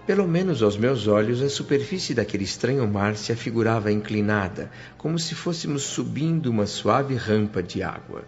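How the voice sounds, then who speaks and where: An actor with a Brazilian accent narrating a radio drama as an emotional, reverent male spirit, solemn and expressive in a measured way. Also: mid-range voice, natural voice. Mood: awed. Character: emotional male spirit recalling a blissful afterlife, reverent and awed. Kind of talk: scripted first-person dramatic narration. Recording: slightly dull radio drama with music. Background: music.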